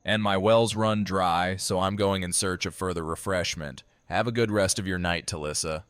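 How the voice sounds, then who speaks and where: A man playing a gruff, teasing tough guy with blunt, folksy phrasing in an audio drama. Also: deep voice, steady tone, normal speed, a bit bright, no room sound. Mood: playful. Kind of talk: fan audio drama acting.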